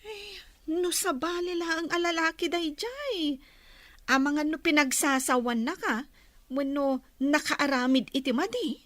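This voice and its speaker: A woman's voice: high-pitched, a little slow, fairly bright tone, dry recording. An actress playing a frank, teasing young woman, a confidante, in a radio drama. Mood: teasing, surprised. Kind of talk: Ilocano radio drama acting.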